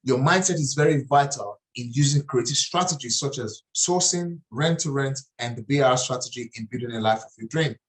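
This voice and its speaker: A man's voice: mid-range voice, brisk, hardly any pauses, poor audio. A middle-aged man from Nigeria with a Nigerian accent, presenting a property investing webinar in an earnest way and often repeating and restarting phrases. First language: Nigerian language.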